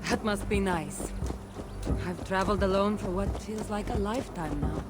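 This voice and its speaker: A woman's voice: medium pitch, normal speed, background noise, lightly acted. An actress playing a seasoned, wry wandering warrior woman with a Greek accent in a video game cutscene. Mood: wry, teasing. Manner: wry, talkative, teasing. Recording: game music behind the voice.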